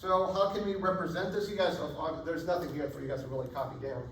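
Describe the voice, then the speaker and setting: A man lectures on chemistry in a relaxed, chatty way, keeping the class with him through frequent "right?" checks. His somewhat high voice is getting hoarse. He is picked up from across an echoey lecture hall with faint background noise. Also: fast talker, far from the mic. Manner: casual, checks in often with "right?". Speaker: chemistry instructor.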